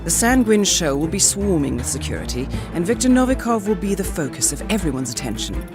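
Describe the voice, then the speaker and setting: An actress playing a poised intelligence handler, giving measured, formal mission briefings in a video game. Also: female voice, fairly low pitch, sing-song, music in the background. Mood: grave, composed. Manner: measured, formal, suave, deliberate. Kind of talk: scripted mission briefing narration.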